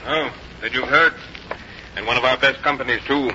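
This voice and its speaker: Actor as dutiful officer, muffled recording. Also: male voice, medium pitch, fairly even intonation, normal speed, natural voice. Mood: urgent, respectful. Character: dutiful, worried military officer reporting to his commander. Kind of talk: 1950s radio drama acting. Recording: muffled, very noisy 1950s radio recording.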